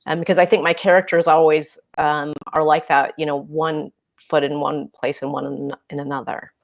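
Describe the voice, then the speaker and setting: A woman's voice: low voice, flat delivery, normal speed, lo-fi sound. A woman in her fifties from the American Midwest, whose first language is Urdu, speaks on a video call as an author panelist. She tells her story reflectively, with lots of fillers. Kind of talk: casual panel discussion.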